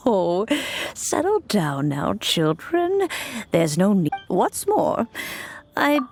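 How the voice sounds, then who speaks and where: Slow, creaky old granny immortal. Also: female voice, mid-range voice, huge pitch swings, exaggerated voice. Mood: fond, gentle, then thoughtful. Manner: measured, hesitant, grandmotherly. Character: gentle old granny immortal, patient, nostalgic, fussing over youngsters. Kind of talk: video game voice acting. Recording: soft game music behind.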